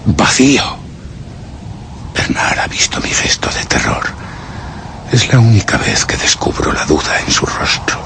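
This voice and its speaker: Low-voiced, haunted gentleman narrator. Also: very animated, measured pace, gravelly, long pauses, background noise, full character voice. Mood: stunned dread. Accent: Castilian Spanish. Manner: grave, suspenseful, theatrical. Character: haunted, obsessive gentleman narrator, master of a servant. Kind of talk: dramatic first-person horror radio play narration. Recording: dramatic music behind the voice.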